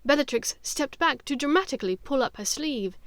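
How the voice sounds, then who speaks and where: High-pitched woman narrating a story. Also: animated, brisk, fairly bright tone, no echo, studio quality. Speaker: audiobook narrator. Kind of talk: scripted dramatic story narration.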